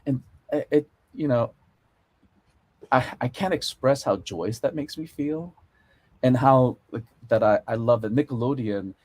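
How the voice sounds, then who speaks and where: A man's voice: medium pitch, unhurried, a little mellow. A middle-aged man with an American accent, speaking earnestly and emphatically in an unscripted panel discussion. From born in Korea, moved to America young. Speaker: panelist, TV series consulting producer.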